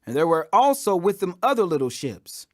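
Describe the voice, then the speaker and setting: A man's voice: sits high, sing-song, fairly quick, dry recording, studio quality. A man reading scripture aloud as a scripted audiobook, with an emphatic, declamatory delivery. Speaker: Bible reader.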